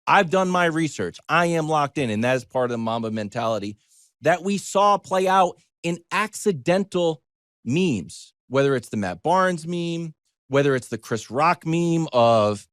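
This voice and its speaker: An American man giving conversational sports talk as a commentator, animated, digressive and self-deprecating as he tells stories. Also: medium pitch, normal speed, dry recording, studio quality.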